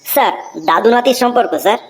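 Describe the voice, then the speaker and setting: High-pitched cheeky schoolboy, played by a woman. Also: fast, lightly acted. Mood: cheeky, matter-of-fact. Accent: Bengali. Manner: pleading, deferential, reciting answers like a pupil. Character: cheeky, earnest schoolboy pupil in comedy cartoon. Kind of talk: comic cartoon voice acting. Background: music.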